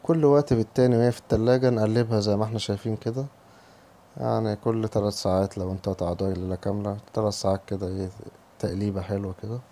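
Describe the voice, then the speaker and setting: A man with an Egyptian Arabic accent, hoarse from a cold, casually talking viewers through a recipe step by step in his home kitchen. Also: fairly low pitch, steady tone, rapid-fire, dark tone. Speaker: home cooking channel host. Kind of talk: cooking tutorial voice-over.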